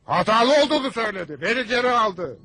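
A man's voice: high voice, animated, fast talker, a loud crowd. A man voicing a blustering, big-hearted middle-aged father in a language cartoon dub, with theatrical delivery. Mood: proud, commanding. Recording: music under the dialogue.

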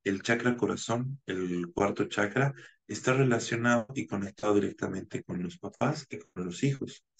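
A man in his thirties from Bolivia, a naturopath giving a measured online conference lecture over a webcam, with frequent hesitation fillers. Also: fairly low pitch, gravelly. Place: home room, indoors. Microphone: video call webcam.

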